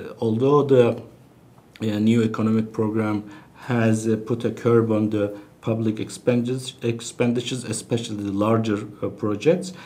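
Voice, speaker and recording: A man presenting financial results as a bank executive, speaking in a halting, hesitant way with searching pauses. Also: medium pitch, dark tone. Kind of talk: business presentation, unscripted financial briefing.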